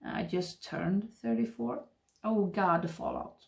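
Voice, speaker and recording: A woman in her thirties with a Swedish accent, chatting and murmuring softly to the camera while doing her makeup at home. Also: medium pitch, a little slow, gravelly, a little hiss or hum. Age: 30s. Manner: soft, rambling, murmuring while applying makeup. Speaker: beauty vlogger. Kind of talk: casual get-ready-with-me chat. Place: home makeup room, indoors. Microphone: webcam framing at desk.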